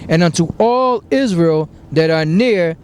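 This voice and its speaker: A man preaching outdoors, slowly reading scripture verses aloud and then explaining them. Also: a little high, animated, darkish tone, a little hiss or hum.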